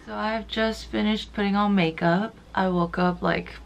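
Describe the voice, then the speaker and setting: A young woman in her twenties talking casually to a handheld camera in her apartment, her voice a little deeper than usual after just waking up. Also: steady tone, normal speed, creaky, muffled recording. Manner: chatty, upbeat, says "like" often. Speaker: vlogger. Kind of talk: casual vlog talk to camera. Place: small apartment room, indoors. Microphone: handheld camera at arm's length.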